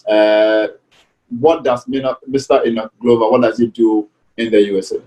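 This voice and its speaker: A man hosting an interview show, speaking in a formal, deliberate announcing manner. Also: on the low side, steady tone, a little mellow, rough recording. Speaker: show host.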